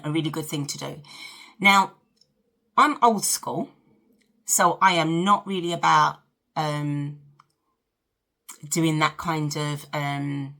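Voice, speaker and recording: A woman's voice: low voice, sharp tone. A woman in her fifties with a South London accent, chatting casually and directly to her viewers as she hosts a get-ready-with-me vlog. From South London, England. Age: 50s. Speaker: vlog host. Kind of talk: casual chat to camera during a get-ready-with-me.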